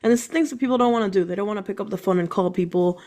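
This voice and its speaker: A woman who is a guest on a business podcast, explaining her work quickly and enthusiastically. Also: medium pitch, darkish tone. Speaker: guest entrepreneur. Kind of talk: casual interview chat.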